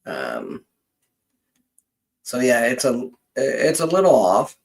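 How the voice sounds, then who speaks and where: A man hosting a casual live genealogy session, explaining things in a halting, hesitant way and often trailing off mid-sentence. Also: mid-range voice, wildly swooping pitch, gravelly, slightly rough.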